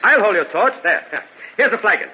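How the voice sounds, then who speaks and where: A high-voiced, vengeful gothic nobleman. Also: animated, fairly quick, slightly rough. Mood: falsely solicitous. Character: vengeful grieving Italian nobleman, slyly courteous. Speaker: narrator and lead actor. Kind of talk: old-time radio gothic drama acting. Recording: muffled, noisy old radio recording.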